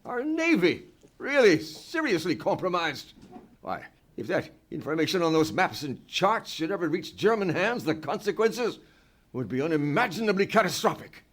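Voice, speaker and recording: A man's voice: fairly high pitch, big pitch swings, normal speed. A man playing a grave, authoritative British government official in a live radio play, formal and theatrical. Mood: troubled, grave.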